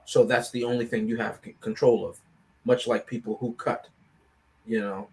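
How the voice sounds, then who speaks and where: A man's voice: lowish voice, level tone, moderate pace, darkish tone. A man speaking as a panelist on a film review show, in casual, analytical discussion, often checking in with "right?".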